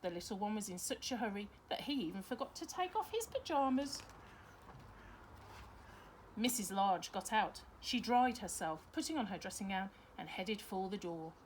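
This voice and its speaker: A woman's voice: mid-range voice, animated, moderate pace, a little hiss or hum, poor audio. A woman reading a children's story aloud expressively, like a teacher to her pupils.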